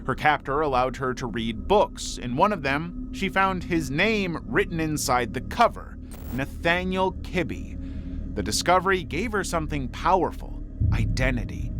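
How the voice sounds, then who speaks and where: A man's voice: a little high, expressive, moderate pace. A man reading scripted documentary narration in a dramatic storytelling manner. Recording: voice over prominent background music. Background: music.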